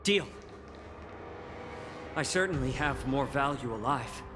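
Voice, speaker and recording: An actor playing a young, calculating captive healer in a video game, measured, polite and quietly resolute. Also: male voice, medium pitch, lively intonation. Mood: calm bargaining. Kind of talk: dramatic video game acting. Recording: music behind voice.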